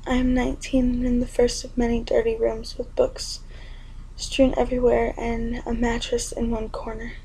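A young woman performing spoken word poetry in an intimate, often whispered delivery with a gravelly edge. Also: a little high, warm tone, poor audio.

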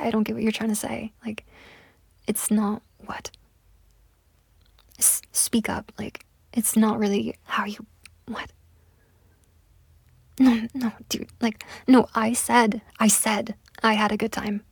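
A woman's voice: on the high side, a little mellow, no room sound, exaggerated voice. A young woman voicing a pushy, entitled woman on a date in a one-sided audio roleplay, talking in a close, whispery way with lots of swearing. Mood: coaxing, annoyed. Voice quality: husky, breathy, gravelly. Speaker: voice actor.

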